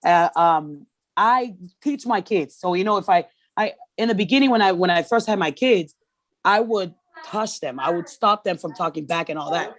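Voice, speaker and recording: A woman in her thirties from Tonga, with Tongan as her first language, talks openly as a guest on a podcast. Her speech is halting and full of restarts but frank. The sound is dry and close. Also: fairly low pitch, expressive, dry recording. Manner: candid, halting, restarts often. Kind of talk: casual conversation.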